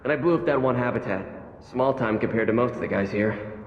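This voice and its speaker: A man's voice: mid-range voice, a little fast, a little hiss or hum, close to an everyday voice. Man playing a jaded streetwise convict, muffled and echoey. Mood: jaded, resigned. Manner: slangy, blunt, matter-of-fact. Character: jaded streetwise male convict, rough and resigned. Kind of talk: video game voice acting. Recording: echoey, muffled, faint music.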